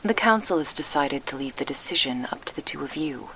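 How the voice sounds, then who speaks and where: A grave, protective succubus sister, played by a woman in an ASMR roleplay. Her voice is low and solemn, with formal, measured phrasing. There is faint noise behind her. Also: expressive, a bit bright, poor audio. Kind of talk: ASMR roleplay, soft scripted acting.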